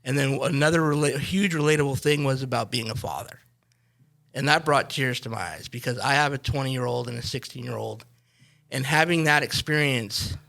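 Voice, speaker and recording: Gravelly-voiced male podcast host. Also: medium pitch, pushed and bright. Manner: friendly, rambling, restarts often. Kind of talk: casual interview.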